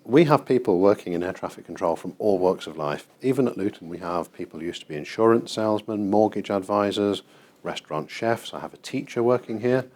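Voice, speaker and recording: Deep-voiced British man explaining. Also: lively intonation, fairly quick, a little mellow. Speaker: air traffic control manager and tour guide. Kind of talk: explanatory guided tour in an interview. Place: large airport control tower room, indoors. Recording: clear ambient noise of a working control room. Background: control room ambience.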